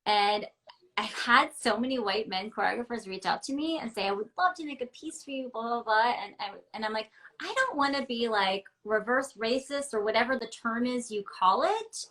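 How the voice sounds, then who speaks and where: A woman's voice: on the high side, normal speed, pushed and bright. A woman talking candidly and emphatically from home in a casual interview, with lots of "like" and "um". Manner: candid and emphatic, many fillers like "like" and "um". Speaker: interview guest, ballet dancer. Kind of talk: casual interview over a video call. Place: home, ground floor apartment.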